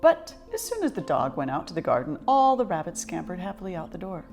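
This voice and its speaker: Female storybook reader, lower-pitched voice, very animated expressive narration for young children. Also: quick pace, soft background music.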